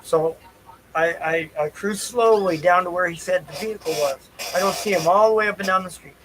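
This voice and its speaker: A man with a somewhat high-pitched voice talks off the cuff as a livestream host. He rambles loosely, half to himself, and circles back over his own phrases. Noticeable noise runs behind him throughout. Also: brisk. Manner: repeats phrases for emphasis. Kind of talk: rambling unscripted livestream talk.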